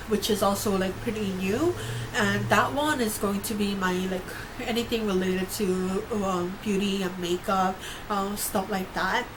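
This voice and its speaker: Chatty young woman, noisy home vlog. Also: medium pitch. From Korea, grew up in New York. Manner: chatty, many fillers and restarts. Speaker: YouTuber. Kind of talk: casual vlog chat.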